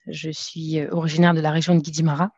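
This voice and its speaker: A woman's voice: deep voice, level tone, fast talker, a little mellow, dry recording. A woman in her thirties from France, a podcast guest talking casually and hesitantly with many fillers and restarts. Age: 30s. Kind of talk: casual conversational interview. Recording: dull, dry lo-fi sound.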